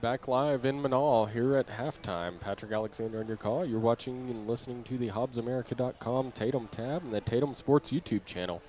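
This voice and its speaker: Male, sports announcer, live halftime recap, conversational, halting delivery, mid-pitched, light background noise. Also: a little mellow. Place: high school game venue, beside fans. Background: faint ambient venue noise.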